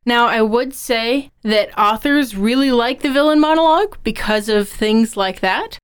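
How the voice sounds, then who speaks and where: A woman's voice: on the high side, measured pace, clean tone. A woman co-hosting a podcast, discussing and explaining in a conversational style. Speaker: podcast co-host.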